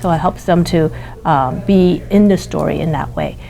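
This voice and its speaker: Low-voiced woman teacher explaining her practice. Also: moderate pace, creaky, dark tone. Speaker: classroom teacher being interviewed. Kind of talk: interview answers about teaching practice.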